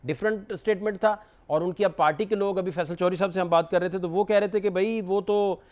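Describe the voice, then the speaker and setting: High-pitched Pakistani male talk show host. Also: fairly even intonation, a little fast, darkish tone. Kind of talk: political talk show questioning.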